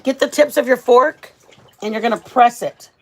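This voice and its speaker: A woman's voice: on the high side, moderate pace. A woman hosting a home cooking vlog, talking casually and informally to her viewers in her kitchen. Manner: chatty, informal, addresses viewers as guys. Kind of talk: casual cooking demonstration to camera.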